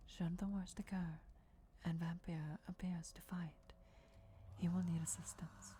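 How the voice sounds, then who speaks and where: A woman's voice: lowish voice, level tone, a little hiss or hum, lightly acted. Woman playing a whispering, deferential vampire emissary. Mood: hushed, deferential. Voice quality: breathy whisper. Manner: hushed, formal, measured, reverent. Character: deferential female vampire emissary briefing her lord before war. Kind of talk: whispered ASMR fantasy roleplay.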